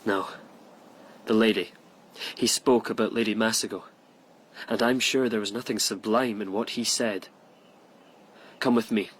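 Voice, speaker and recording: A man's voice: lowish voice, fairly even intonation, unhurried, long pauses, fairly bright tone, slightly rough. An actor in a radio drama playing a proud nobleman of the old Japanese court, formal and questioning in manner. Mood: suspicious.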